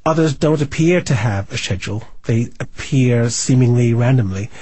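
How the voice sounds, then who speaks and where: Husky mid-pitched man explaining in an interview. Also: expressive, moderate pace, warm tone, dry recording. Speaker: interviewee, shortwave recording enthusiast.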